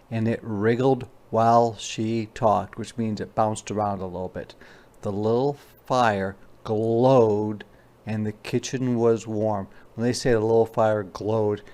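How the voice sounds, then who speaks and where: A man's voice: fairly low pitch, fairly even intonation, takes it slowly, darkish tone. A man reading a children's storybook aloud as a teacher, with informal, explanatory asides in simple words.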